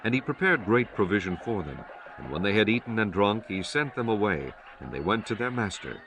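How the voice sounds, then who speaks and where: A man narrating dramatized scripture in a solemn, measured storytelling manner. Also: lowish voice, very animated, fairly bright tone. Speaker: audiobook narrator. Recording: music bed under the voice. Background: music.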